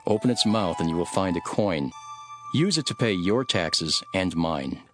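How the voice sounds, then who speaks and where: An actor playing an authoritative, earnest holy teacher in a dramatised scripture recording. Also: male voice, low voice, animated, moderate pace. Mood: calm, instructing. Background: music.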